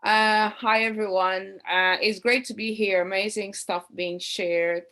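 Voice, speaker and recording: A friendly woman coach with roots in Portugal and Cape Verde speaks in an ordinary mid-range voice. She introduces herself over a video call and tells her story in a warm, personal, conversational way. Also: medium pitch, steady tone, measured pace. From Portugal, Cape Verdean background. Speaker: high performance coach, operations director.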